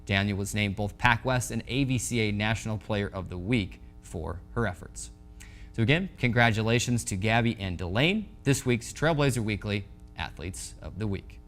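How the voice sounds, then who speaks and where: Low-voiced young male sports show host. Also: normal speed, fairly bright tone, faint music behind. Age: 20s. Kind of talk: scripted sports news presenting. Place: TV studio. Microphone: lapel mic.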